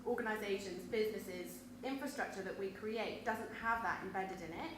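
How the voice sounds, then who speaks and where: A woman panelist speaking thoughtfully in a discussion, pausing to search for words. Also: medium pitch. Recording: very echoey hall, background noise.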